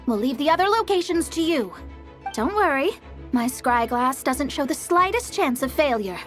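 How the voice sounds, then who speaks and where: An actress playing a poised, self-assured young astrologer in a video game, with a slightly theatrical manner. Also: female voice, high-pitched, animated, normal speed, faint music behind. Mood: confident. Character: confident young female astrologer, poised and grand.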